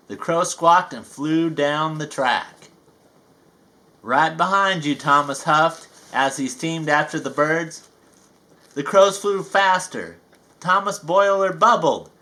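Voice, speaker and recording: Bright folksy male storyteller. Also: a little high. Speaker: storybook reader. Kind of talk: children's read-aloud narration with asides.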